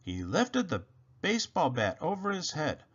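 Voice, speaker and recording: A man's voice: fairly high pitch, huge pitch swings, moderate pace, a bit bright, slightly rough. A man reading a children's story aloud as narrator, expressively, on a slightly dull lo-fi recording.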